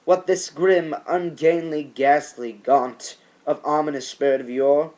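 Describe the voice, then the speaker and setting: A man giving an amateur dramatic reading of a poem, theatrical, in halting phrases with swelling emphasis. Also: a little high, steady tone, a little mellow.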